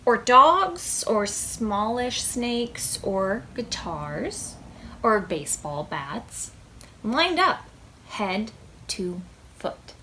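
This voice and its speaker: A woman hosting a preschool storytime, reading a picture book aloud with deliberate, expressive phrasing for small children. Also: fairly high pitch, a little hiss or hum, low-quality recording.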